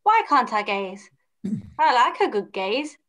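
A woman's voice: on the high side, very animated, a little slow, fairly bright tone. A young woman playing a cheeky, idle teenage son in a pantomime read-through, with a questioning manner. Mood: cheeky, curious. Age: young adult. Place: room at home, video call.

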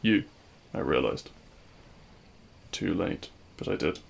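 A man playing a regretful, lovelorn supervillain in an audio roleplay, speaking in a hushed, halting, wistful way. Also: low voice, lively intonation, vocal fry, breathy edge, heavily acted. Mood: regretful, empty. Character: regretful lovelorn male supervillain addressing his fallen hero. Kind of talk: scripted ASMR audio roleplay monologue.

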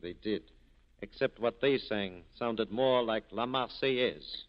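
Suave, sardonic police captain, muffled recording. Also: male voice, on the low side, takes it slowly. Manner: urbane, sardonic. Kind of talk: 1940s radio acting. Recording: dull 1940s radio broadcast recording.

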